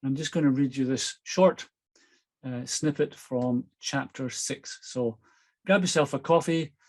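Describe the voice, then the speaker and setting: A man's voice: medium pitch, unhurried, a little mellow. Scottish man, chatty author. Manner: enthusiastic, chatty. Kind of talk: chatty intro and reading from his novel.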